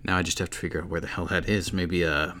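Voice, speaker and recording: A man's voice: low voice, flat delivery, fast, sharp tone, slight background noise. A man playing a shady, secretive investigator in an audio drama, muttering to himself. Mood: sly, pleased. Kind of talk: naturalistic audio drama acting.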